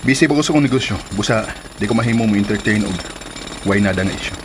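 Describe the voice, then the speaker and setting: A man acting a busy, apologetic young businessman and boyfriend in a Filipino radio drama, with a Bisaya accent and a husky voice. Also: lowish voice, fast, a little hiss or hum, close to an everyday voice. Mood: defensive, dismissive.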